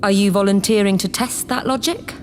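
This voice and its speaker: A woman's voice: medium pitch, brisk, a little hiss or hum, close to an everyday voice. A woman playing an imperious, scheming acting leader of a spaceship in a sci-fi comedy audio drama, commanding, condescending and sarcastic. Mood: stern, commanding.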